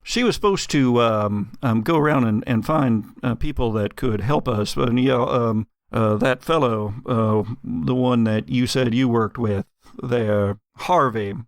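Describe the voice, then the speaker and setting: A man plays an anxious townsman survivor in a tabletop game. The voice is creaky and recorded dry. He is apologetic and shaken, stumbling hesitantly over his words. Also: mid-range voice, a little slow, no echo, close to an everyday voice. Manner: hesitant, stammering.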